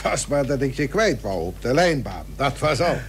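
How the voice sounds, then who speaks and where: An actor playing a gruff but approving older ship's captain in a period radio drama, talking in a plain, colloquial way. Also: male voice, mid-range voice, very animated, normal speed. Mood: gruffly approving. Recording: noisy old radio recording with hum.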